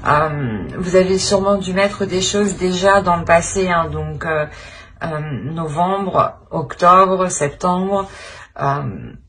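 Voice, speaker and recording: Female tarot reader, lowish voice, improvised and searching delivery with repeated phrases, slight background noise. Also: takes it slowly, fairly bright tone. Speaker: tarot reader, channel host. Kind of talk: improvised tarot reading addressed to the viewer.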